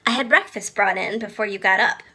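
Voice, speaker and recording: A woman voicing a gently bossy, affectionate veiled caretaker in a storybook, with formal courtly phrasing. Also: mid-range voice, fairly quick, slightly rough. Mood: kindly.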